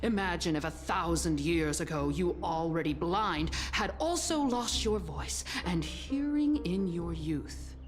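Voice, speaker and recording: A cruel female assassin villain from a video game, speaking in a lowish, unhurried voice. She taunts and sneers theatrically, stretching words for effect. Loud game music plays underneath. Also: lively intonation. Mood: cruel mockery. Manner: sneering, taunting, drawn-out delivery with breathy chuckles. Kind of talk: dramatic video game voice acting.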